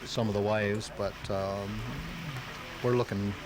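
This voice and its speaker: A man with a lowish voice answers interview questions as a club member. He explains haltingly, with many hesitations, over clear background noise on a home-taped live radio show. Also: little pitch movement.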